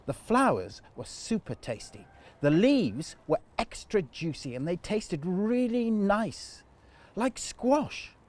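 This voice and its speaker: A man telling a children's story in an animated storytime narration style. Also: sits high, slow pace.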